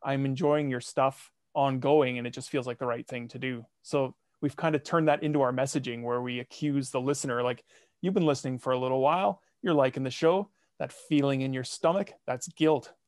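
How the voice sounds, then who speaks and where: A man's voice: medium pitch, brisk, darkish tone, dry recording, studio quality. A man guest on a podcast, chatting casually with a wry, tongue-in-cheek manner. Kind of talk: casual conversational chat.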